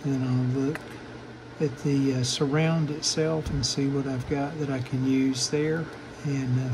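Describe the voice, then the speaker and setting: An older man giving a casual, matter-of-fact, halting narration of hands-on repair work at a workbench. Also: medium pitch, noisy background. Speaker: vintage radio repair hobbyist, channel host.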